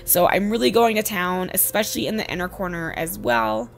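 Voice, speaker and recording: A woman in her twenties with a slightly hoarse voice, giving a casual, chatty makeup tutorial voice-over. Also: mid-range voice, a little fast. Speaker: beauty vlogger, host. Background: background music.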